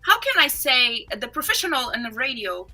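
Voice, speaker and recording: A young woman from Brazil with a strong Brazilian Portuguese accent talks in English, animated and quick to laugh as she hunts for the right words. Her voice is very bright and sharp, and sits a little high. Faint music plays underneath the podcast chat. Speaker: podcast guest. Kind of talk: casual interview chat.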